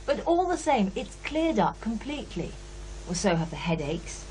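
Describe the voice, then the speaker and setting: An actress playing a candid, sceptical psychiatrist in a radio drama, with a hesitant, thoughtful way of talking. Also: female voice, medium pitch, big pitch swings, normal speed, darkish tone, slight background noise, lightly acted, low-quality recording. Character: brisk, candid female psychiatrist, sceptical yet unsettled. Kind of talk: naturalistic radio drama dialogue.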